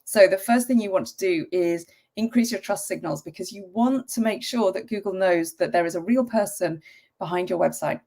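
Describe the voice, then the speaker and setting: A woman hosting a casual live-stream tutorial, croaky from a cold and talking in a chatty way with frequent ums and the odd cough. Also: mid-range voice, moderate pace, warm tone.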